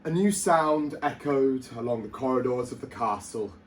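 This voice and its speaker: A man's voice: medium pitch, moderate pace, slight background noise. A man reading a children's story aloud like a teacher at storytime, theatrical and emphatic.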